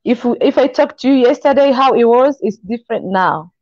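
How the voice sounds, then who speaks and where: A young woman from Congo, not an English speaker, speaking earnestly and encouragingly in halting phrases on a video call from a kitchen. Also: a little high, animated, no echo. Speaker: guest. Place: kitchen indoors. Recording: dull, lo-fi call audio.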